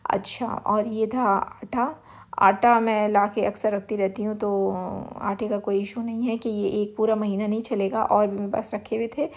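A woman's voice: mid-range voice, flat delivery, normal speed. A woman with a Pakistani accent giving a casual, chatty home-vlog voice-over, explaining things and mixing in English words. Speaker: home vlogger. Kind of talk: casual grocery haul voice-over.

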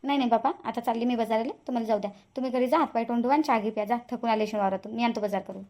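A woman's voice: fairly high pitch, fairly even intonation, racing, a little mellow, close to an everyday voice. A woman voicing a grumbling, hardworking village housewife in a comic cartoon, folksy and complaining, with a rustic Varhadi Marathi accent. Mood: mildly reproachful. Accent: Varhadi Marathi, Vidarbha. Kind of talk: amateur comic cartoon voice-over.

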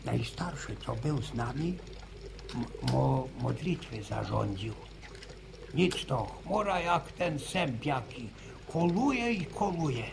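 A gravelly old village grandfather. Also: mid-range voice, animated. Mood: storytelling. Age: older. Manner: slow rustic storytelling, halting and repeating words. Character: old village grandfather, a slow, pious storyteller. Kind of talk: 1960s radio drama acting. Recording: hissy old radio drama tape.

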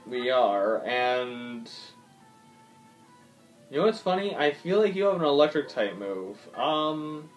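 A man's voice: mid-range voice, big pitch swings, slow, pauses often. A man giving casual, swearing gameplay commentary, thinking aloud with lots of ums as he plays. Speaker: gaming YouTuber. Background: faint game music.

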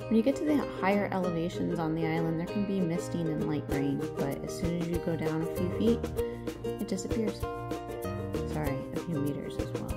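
Low-pitched woman narrating over loud music. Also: a little fast. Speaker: travel vlog host. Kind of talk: scripted travel narration.

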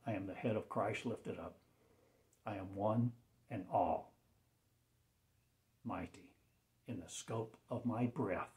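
A man delivering a rambling spiritual proclamation as a self-styled preacher, in solemn, halting fragments that often sink into hushed, near-whispered phrases. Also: lowish voice.

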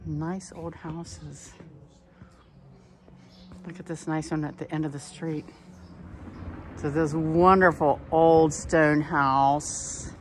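A woman talks her way through a walking tour, chatty and relaxed as she points out sights along the street. Her voice sits a little low. Faint outdoor ambience hums behind her. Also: slow, pauses often, slight background noise. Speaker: tour guide. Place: outdoors on old town streets.

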